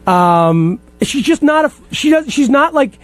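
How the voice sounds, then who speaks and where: A man's voice: extremely high pitch, sing-song, a little slow, dry recording. A man in his late thirties chatting casually as a producer on a radio panel, blunt and emphatic in his opinions. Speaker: radio show staff producer, guest.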